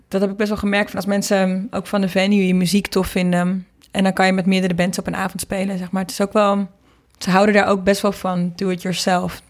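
A woman chatting casually in an interview, with an informal, rambling way of talking. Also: medium pitch. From Netherlands. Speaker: singer and producer being interviewed.

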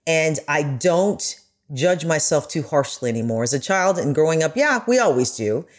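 A woman's voice: low-pitched, lively intonation, moderate pace. A middle-aged woman, a journalist guest, speaking earnestly and emphatically in a conversational interview.

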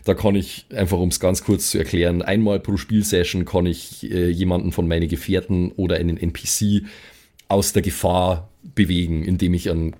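Low, mellow-voiced man at a gaming table. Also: level tone, moderate pace. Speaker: tabletop role-playing player. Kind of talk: casual character explanation on an actual-play podcast.

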